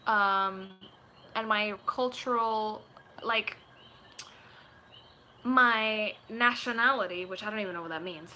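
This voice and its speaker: A woman's voice: medium pitch, slow pace, long pauses, bright, forceful tone. A twenty-year-old woman from Puerto Rico chatting casually and playfully in a rambling way, like a vlogger talking to viewers. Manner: playful, rambling, slips into put-on accents and voices. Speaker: video creator chatting with viewers. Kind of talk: casual live chat. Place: small room at home, indoors. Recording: noisy home webcam audio.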